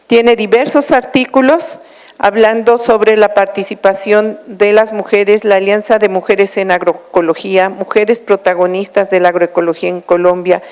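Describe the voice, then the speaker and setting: A woman's voice: medium pitch, steady tone, normal speed, darkish tone, clear, resonant tone, in a large hall. A woman moderator formally introducing a guest speaker, reading out a list of titles. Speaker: event moderator.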